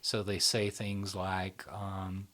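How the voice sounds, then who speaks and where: A man who founded a channel, explaining in a relaxed casual conversation, with many fillers and restarts. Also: deep voice, slow pace.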